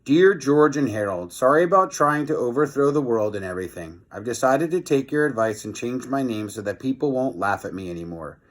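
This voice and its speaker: A man's voice: mid-range voice, animated, brisk, hardly any pauses, close to an everyday voice. Man reading as a sheepish mad professor. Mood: apologetic, earnest. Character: sheepish defeated mad professor, male villain.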